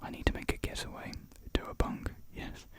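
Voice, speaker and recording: A whispering reader voicing a flustered, polite English gentleman on the run. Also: a little fast, lightly acted. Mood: desperate, pleading. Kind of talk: ASMR whispered script reading.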